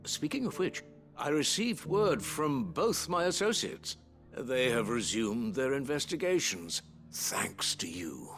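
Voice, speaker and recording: Slow, swooping, refined butler. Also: male voice, mid-range voice, big pitch swings, a bit bright, soft background music. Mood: smooth, satisfied. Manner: formal, deliberate, dramatic pauses. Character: refined, discreet male butler, measured and theatrical. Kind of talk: video game voice acting.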